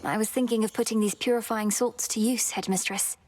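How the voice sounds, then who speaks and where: A woman's voice: a little high, steady tone, a little fast, a little mellow, natural voice. A woman voicing an earnest young witch princess. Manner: formal, earnest. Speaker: game character.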